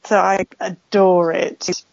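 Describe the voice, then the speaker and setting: A woman's voice: mid-range voice, flat delivery, slow, vocal fry, a little mellow, no echo. Woman from West Wales, author guest, chatty, rambling, dry close sound, dull lo-fi. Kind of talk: casual interview chat.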